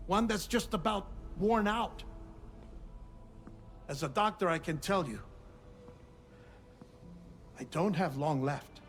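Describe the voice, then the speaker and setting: Dying scientist-doctor for game cutscene, weary yet earnest, measured formal delivery, higher male register, light score underneath. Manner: confessional, deliberate, with pauses and formal phrasing. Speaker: doctor and researcher. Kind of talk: dramatic video game cutscene monologue.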